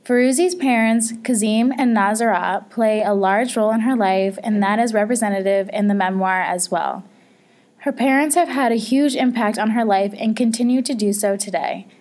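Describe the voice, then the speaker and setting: Young woman reading a report evenly. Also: fairly high pitch. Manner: measured, even reading. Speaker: student presenter. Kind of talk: reading a book report aloud.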